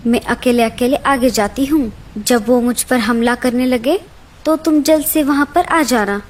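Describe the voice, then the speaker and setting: A woman plays a small mother bird from a kids' cartoon, with a very high voice. She is plaintive yet cunning and speaks with dramatic, pleading feeling. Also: a little slow. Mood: bold, scheming. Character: clever, brave mother sparrow, plaintive but cunning. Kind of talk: children's cartoon story dialogue.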